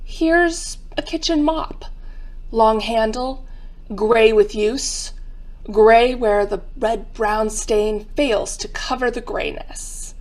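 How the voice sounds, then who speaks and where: A woman narrating an old-time radio crime drama as a solemn, macabre museum guide, theatrical and deliberate. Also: a little high, a little mellow.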